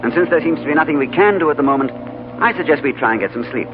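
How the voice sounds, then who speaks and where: An actor playing a calm, wry gentleman traveler who speaks in an unhurried, dryly ironic, composed way, in old-time radio drama style. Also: a little high, highs cut off, lightly acted. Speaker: narrator. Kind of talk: 1930s radio acting. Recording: scratchy vintage radio broadcast with music.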